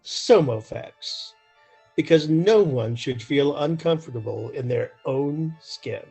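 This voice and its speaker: A man playing a solemn, theatrical old-time radio announcer with deliberate delivery. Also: fairly high pitch, lively intonation, dark tone, husky, faint music behind, exaggerated voice, low-quality recording. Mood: solemn, salesmanlike.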